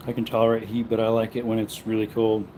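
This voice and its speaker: A man's voice: lowish voice, monotone, fast, dark tone, a little hiss or hum. A man casually chatting to viewers while cooking at home, rambling in an easygoing way. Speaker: cooking livestream host. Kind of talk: casual live-stream chat while cooking.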